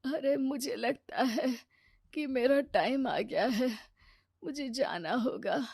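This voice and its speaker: A slow, plaintive sickbed brother as a woman acts him from a cartoon story. The speech is drawn out and frail in character, close and dry in sound. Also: high-pitched, creaky, darkish tone, husky, dry recording, heavily acted. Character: ailing, plaintive brother of a young wife. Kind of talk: Hindi cartoon storytelling dub.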